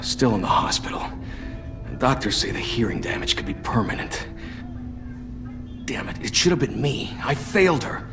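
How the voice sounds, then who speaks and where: Loyal government agent, American accent, informal, earnest, middle pitch, game drama, music behind. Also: male voice, animated, moderate pace, gravelly, long pauses. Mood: guilty, distressed. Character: guilt-ridden loyal adult male government agent. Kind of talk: dramatic video game acting. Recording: music under the voice.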